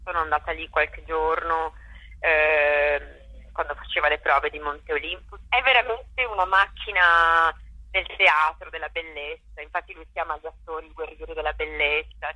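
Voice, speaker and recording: A woman theatre director and actress in a relaxed interview, reflective and searching for words, with frequent restarts. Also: deep voice, unhurried, dry recording, low-quality recording. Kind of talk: conversational interview.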